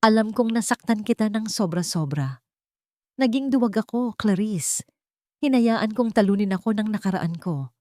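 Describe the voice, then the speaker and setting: A Filipino woman plays a rich businessman in love in an audiobook drama, full of remorse. The delivery is earnest and pleading, with wide swings in pitch and a dry, close sound. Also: animated, normal speed, mellow, no echo, lightly acted. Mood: remorseful, pleading. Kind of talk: audiobook character dialogue.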